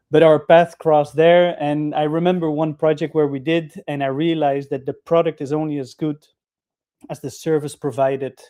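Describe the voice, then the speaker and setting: A man's voice: a little high, warm tone. A man from the Netherlands, speaking in an office over a video call, telling his career story earnestly in long, winding sentences. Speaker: franchise partner, guest speaker. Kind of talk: webinar storytelling.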